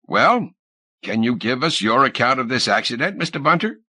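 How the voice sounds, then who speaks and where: A man voicing a sour, suspicious middle-aged ship's captain in an audiobook, with a gruff, blustering manner of questioning. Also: mid-range voice, very animated, normal speed, pushed and bright, no echo, studio quality, pitched above the performer's own voice, exaggerated voice. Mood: probing.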